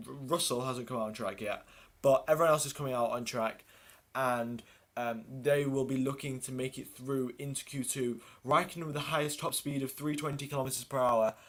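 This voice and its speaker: A man's voice: mid-range voice, a bit bright. A man hosting a livestream, giving running race commentary and reading out names and positions in clipped lists. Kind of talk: live race watchalong commentary.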